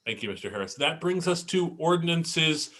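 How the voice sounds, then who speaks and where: A man chairing a council meeting as its president, speaking in a brisk, formal procedural way. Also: a little high, lively intonation, fairly bright tone.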